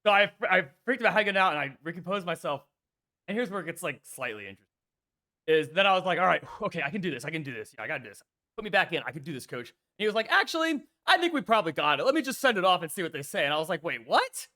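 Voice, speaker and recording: A man with a bright, forceful, slightly raspy voice chatting on a chess stream. He tells stories with energy and acts out what people said. His voice sits a little high. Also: rapid-fire. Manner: animated storyteller, swears, acts out quotes. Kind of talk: casual chat.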